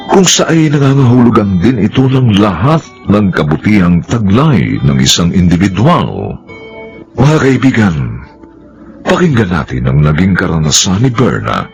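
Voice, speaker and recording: A low Filipino male voice narrates a radio drama solemnly and unhurriedly. His intonation rises and falls widely over music with plucked strings. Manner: solemn, measured.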